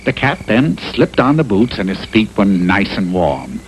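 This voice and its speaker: A man's voice: lowish voice, sing-song, a little slow, rough recording. A man narrating a cartoon fairy tale in a tongue-in-cheek storybook style, on an old TV soundtrack. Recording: old taped TV cartoon soundtrack, faint noise.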